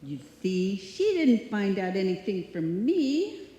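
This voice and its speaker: A woman's voice: medium pitch, sing-song, slow pace, noisy background. A woman playing a naive, scatterbrained, adoring young roommate in live old-time radio comedy style. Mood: guileless. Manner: guileless, dim-witted. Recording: echoey hall, live stage performance.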